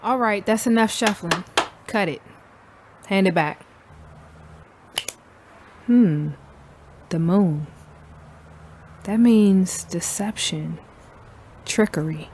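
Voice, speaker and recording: An actress playing a streetwise young tarot-card hustler in an audio drama, unimpressed and curt, with a hawker's patter. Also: female voice, mid-range voice, takes it slowly, long pauses, darkish tone, a little hiss or hum, close to an everyday voice. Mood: curt, portentous.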